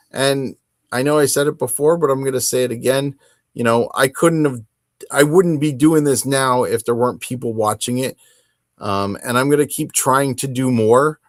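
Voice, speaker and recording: A man with an average-pitched voice chats casually as a solo podcast host. His delivery wanders and halts, with a self-deprecating streak. The sound is dry, with very little room in it. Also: dry recording. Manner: rambling, halting, self-deprecating. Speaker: host of a tabletop wargaming podcast. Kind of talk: casual solo chat to camera.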